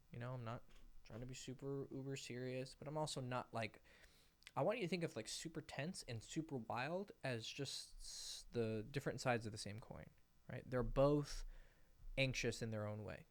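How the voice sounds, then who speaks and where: A man giving casual dating advice, talking loosely and animatedly and acting out bits of example conversation, with a voice he calls fairly high-pitched. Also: vocal fry. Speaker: dating coach. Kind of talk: casual advice monologue to camera. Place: indoors, sitting in a rocking chair.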